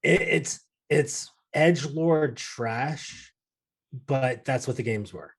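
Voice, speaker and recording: A man with a husky, slightly rough voice in a relaxed podcast chat. He is emphatic and sardonic, and he swears freely as he makes his points. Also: mid-range voice, takes it slowly. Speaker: podcast panelist. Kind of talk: casual film and game discussion chat.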